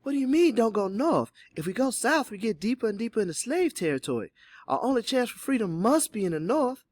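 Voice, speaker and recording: A man's voice: very high-pitched, big pitch swings, a little fast, voice pushed up, exaggerated voice. A man voicing an earnest, outspoken young woman in an audiobook, questioning and insistent. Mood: indignant.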